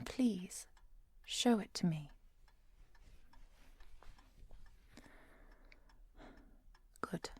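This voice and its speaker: Woman acting as soft, husky, composed lady professor. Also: medium pitch, full character voice. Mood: calm, clinical. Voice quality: soft, often whispery. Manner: measured, precise, softly commanding. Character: composed, caring woman professor who secretly hunts vampires. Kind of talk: ASMR roleplay.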